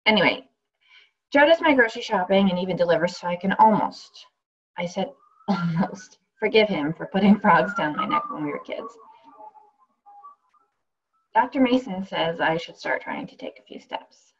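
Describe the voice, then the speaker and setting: An actress plays a housebound injured woman telling her own story with sarcasm and exasperation. Her voice is husky and swings expressively in pitch. The monologue comes through slightly dull, lo-fi sound. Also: wildly swooping pitch, moderate pace, pauses often. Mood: anxious, exasperated. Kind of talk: dramatic monologue reading.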